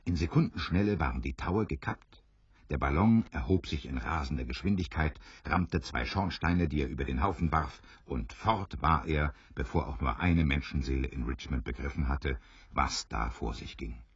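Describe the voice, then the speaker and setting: A man narrating a dramatised story in a theatrical, deliberate way with a wry touch. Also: very deep voice, lively intonation, breathy edge, no room sound, full character voice. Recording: dry, slightly dull studio recording.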